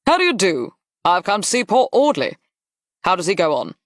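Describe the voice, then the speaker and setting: A narrator voicing a brisk, blunt aristocratic general in an audiobook, with clipped, emphatic phrasing. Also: medium pitch, huge pitch swings, a bit bright, no room sound, studio quality, pitched above the performer's own voice. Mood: brisk, concerned. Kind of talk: audiobook character dialogue.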